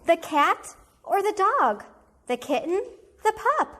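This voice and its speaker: A woman reads a picture book aloud as a kindergarten teacher would. Her voice sits high, and she takes her time over each phrase. The delivery is animated storytelling aimed at young children. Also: slow.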